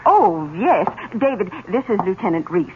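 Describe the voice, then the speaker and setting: An actress in an old-time radio drama playing an effusive, doting older aunt who talks in a gushing, chatty way. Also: female voice, medium pitch, sing-song, moderate pace, close to an everyday voice. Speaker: radio actor. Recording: dull, noisy old radio recording.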